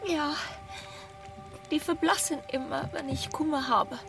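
An actress playing Tulippa, a gentle, polite young girl in a children's radio play, with music underneath. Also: high voice, a little slow, gravelly. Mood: sorrowful. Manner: polite, sorrowful.

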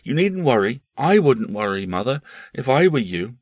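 A man doing an anxious, high-voiced young boy. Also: big pitch swings, moderate pace, no room sound, clean studio sound. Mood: insistent. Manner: pleading, insistent, feverish.

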